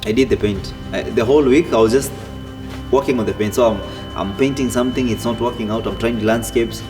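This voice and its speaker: A man, telling his own story in a relaxed way with many fillers and restarts. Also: mid-range voice, brisk. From Kenya. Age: 30s. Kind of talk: casual personal storytelling. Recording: distant mic with music behind.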